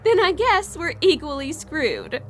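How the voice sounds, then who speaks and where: An actress as a blind woman trapped in a horror drama, her voice high and very lively in pitch. She is scared but sharp-tongued, tense and quick to turn sarcastic. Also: soft background music, full character voice. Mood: dry, sarcastic. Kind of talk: audio horror drama acting.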